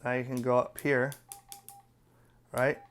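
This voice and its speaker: A man's voice: mid-range voice, little pitch movement, slow pace, a bit bright, clean tone. A man presenting a casual, unscripted hands-on product review, talking bluntly and colloquially as he explains things step by step.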